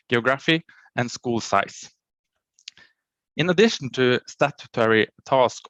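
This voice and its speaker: A man, a county education official reading a prepared conference presentation in a formal, halting way and often cutting off and restarting words. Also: medium pitch, a bit bright, no room sound.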